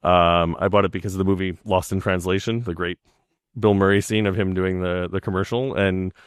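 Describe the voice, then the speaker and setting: A man's voice: deep voice, flat delivery, a little fast, clean tone, no echo, clean studio sound. A man in his forties co-hosting a podcast, telling stories in a chatty way with frequent ums. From Canada. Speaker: podcast co-host. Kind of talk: casual chat.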